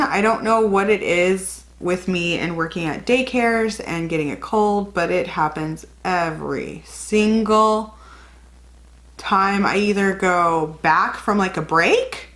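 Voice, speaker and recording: A woman's voice: medium pitch, a bit bright. A young woman with a slight cold, chatting and rambling through a casual makeup tutorial as a beauty vlogger. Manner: chatty, rambling, self-correcting.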